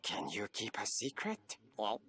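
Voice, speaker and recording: An actor playing a portentous, secretive alien sage in an animated video game. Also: male voice, on the low side, unhurried, husky, heavily acted. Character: mystical, cautious male alien sage.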